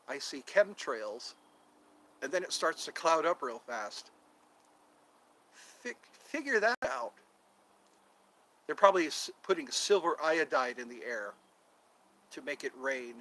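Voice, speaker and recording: A man's voice: fairly high pitch, very animated, measured pace, long pauses, pushed and bright, low-quality recording. A middle-aged man chatting casually on his vlog, rambling and joking, trying out voices and impressions. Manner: rambling, jokey, tries out voices. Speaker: vlogger.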